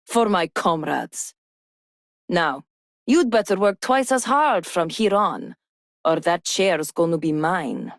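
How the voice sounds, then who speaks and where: A woman's voice: fairly low pitch, animated, slow, no room sound, studio quality. A woman voicing a tough, ambitious young female fighter in a game, firm and challenging. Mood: determined, challenging. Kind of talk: game character voice line.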